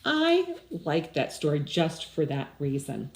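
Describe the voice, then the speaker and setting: Older woman, children's story time host, unhurried, slightly low voice, lively pitch swings. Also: slight background noise. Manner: expressive, asks young listeners questions. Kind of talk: picture-book read-aloud and chatting to children. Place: small indoor room with blinds.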